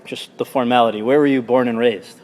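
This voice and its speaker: A man conducts an onstage interview, framing his questions with asides and prefaces and backing the guest with quick "yeah"s. His voice trails off into a long reverb from the hall around him. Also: mid-range voice, fairly even intonation, darkish tone, slight background noise. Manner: friendly, brief affirmations, hedged wordy questions.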